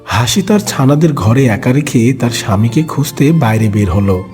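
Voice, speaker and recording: A man's voice: mid-range voice, expressive, normal speed, darkish tone, soft background music. A man narrating a scripted children's cartoon story.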